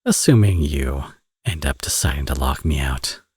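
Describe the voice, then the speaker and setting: A man acts out a teasing villain turned doting father for an ASMR romance roleplay. His voice is low and husky, recorded close and dry. He sounds smug and playful, talking as if to an old flame. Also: lively intonation, normal speed, darkish tone, no room sound, exaggerated voice. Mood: earnest, persuasive. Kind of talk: ASMR audio roleplay, romantic drama acting.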